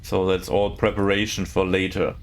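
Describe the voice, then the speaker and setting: A man, speaking English as a while streaming a game and chatting casually with viewers, often pausing to search for words. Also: low voice, monotone. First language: not English. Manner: halting, searching for words, many fillers.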